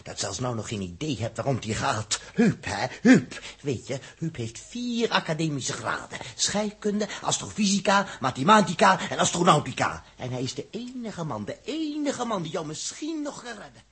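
An actor playing a blunt, hot-headed astronaut in a 1960s radio drama, excitable and emphatic, often repeating words. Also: male voice, a little high, moderate pace, hardly any pauses, slightly rough, exaggerated voice. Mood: bitter, urgent.

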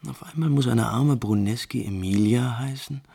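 A man playing an impulsive, refined, lovesick prince in 1950s German radio drama, theatrically shifting between musing and brisk command. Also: medium pitch, gravelly, dark tone, breathy edge. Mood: wistful, agitated. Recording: 1950s radio recording with music behind.

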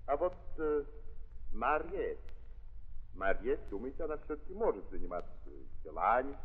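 An actor playing an earnest, idealistic prince, courteous but halting and declamatory, in the manner of 1930s Russian radio theatre. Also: male voice, medium pitch, big pitch swings, a little hiss or hum, lightly acted. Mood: earnest, challenging. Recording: muffled 1930s recording, long hall reverb.

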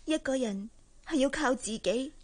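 An actress with a Hong Kong Cantonese accent playing a headstrong, wounded young working woman in a radio drama, hushed and plaintive. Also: high-pitched, normal speed. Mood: defiant. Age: young adult.

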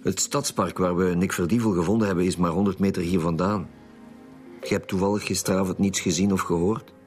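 Actor as brusque Flemish police commissioner, lowish voice. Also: male voice, fairly even intonation, a little fast, darkish tone, faint music behind, natural voice. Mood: probing, measured. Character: brusque male police commissioner questioning suspects and witnesses. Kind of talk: naturalistic radio drama acting.

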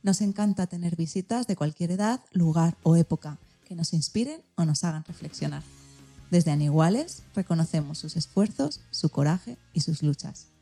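A woman's voice: lowish voice, expressive, moderate pace, warm tone, soft background music. A woman with an accent from Spain, hosting and presenting a scripted radio show. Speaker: programme host.

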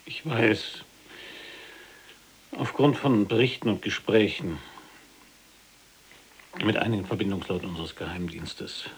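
An imprisoned resistance fighter in an audio drama. His voice is low, firm and articulate as he states facts with accusing earnestness, over a faint hiss. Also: measured pace, pauses often. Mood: grave, factual. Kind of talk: audio drama, testimony-like narration.

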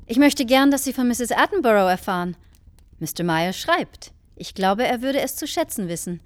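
An actress playing a brittle, sarcastic wife and insistent hostess in a German radio play, with pointed, theatrical politeness. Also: female voice, fairly high pitch, lively intonation, normal speed, dry recording, lightly acted. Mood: insistent, mock-polite.

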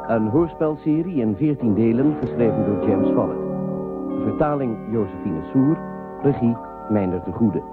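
A man's voice: mid-range voice, expressive, moderate pace. A man reading scripted radio drama titles and credits as an announcer. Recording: thin, narrow-band old radio sound with music.

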